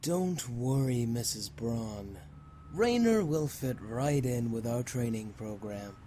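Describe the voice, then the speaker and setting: An assured male official, played by a man, with a dark, mellow voice and lively intonation. He is composed and reassuring in a dramatic scene with faint noise. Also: medium pitch, slow pace. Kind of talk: dramatic voice acting in an audio drama.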